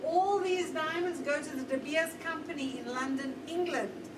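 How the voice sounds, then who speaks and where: High-voiced Zimbabwean woman guiding a tour. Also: normal speed. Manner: chatty, addresses the group directly. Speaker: diamond factory guide. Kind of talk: guided tour talk. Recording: roomy, distant, noisy.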